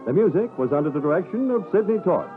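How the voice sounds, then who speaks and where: Casting: male announcer, fairly high, animated delivery of scripted vintage radio credits. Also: quick pace. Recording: muffled vintage radio, music behind.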